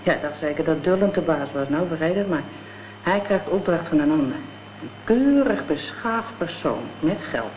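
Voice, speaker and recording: A woman's voice: low voice, animated, moderate pace. An actress playing a gangster's tough, vengeful girlfriend in a radio drama, talking in blunt, scornful slang. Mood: conspiratorial, scornful. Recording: dull, noisy old radio play recording.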